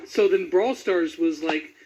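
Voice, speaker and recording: A man's voice: a little high, a little slow, lo-fi sound. A man hosting a casual interview, putting questions to his guest in a chatty way. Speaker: interviewer, host.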